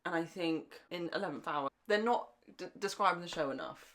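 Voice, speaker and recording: A woman's voice: lowish voice, brisk, a bit bright. A young woman co-hosting a podcast, chatting casually in an enthusiastic, talkative way. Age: young adult. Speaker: podcast co-host. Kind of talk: casual fan podcast chat.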